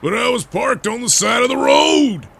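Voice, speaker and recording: A man voicing an outraged motorist after a car crash, cursing and shouting indignantly in a comic audio drama. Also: very high-pitched, lively intonation, normal speed, vocal fry, breathy edge, pitched above the performer's own voice, full character voice. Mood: furious, indignant.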